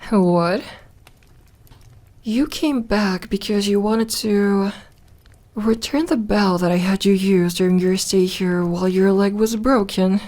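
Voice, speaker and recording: A woman's voice: medium pitch, takes it slowly, darkish tone, close to an everyday voice. A woman playing an ancient, lonely vampire in an ASMR roleplay, playful and tender, with a teasing drawl that turns hesitant when flustered. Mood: flustered, curious.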